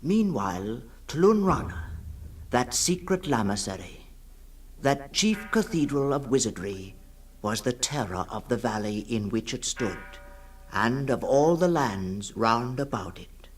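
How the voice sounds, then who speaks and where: A man reading a fantasy tale as scripted dramatic narration, solemn and portentous, with deliberate pauses. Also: medium pitch, animated, slight background noise. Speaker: story reader.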